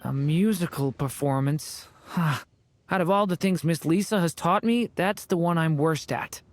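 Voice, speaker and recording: A woman's voice: on the low side, unhurried, a little hiss or hum, lightly acted. A woman voicing a polite, earnest young dragon boy in a video game, courteous and a little hesitant. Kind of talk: video game character acting.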